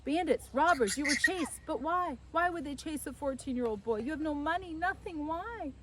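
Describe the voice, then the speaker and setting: A woman playing an excitable, high-pitched fretting mother. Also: normal speed, a little hiss or hum. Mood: flustered. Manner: exclamatory, repetitive, fussing. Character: excitable fretful Italian mother, dramatic and scolding.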